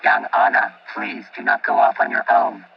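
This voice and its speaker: A man's voice: normal speed, muffled recording. A man playing a stiff, protective combat robot in an audio drama, speaking formally and literally through a robotic filter. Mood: cautious. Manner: formal, clipped, literal phrasing.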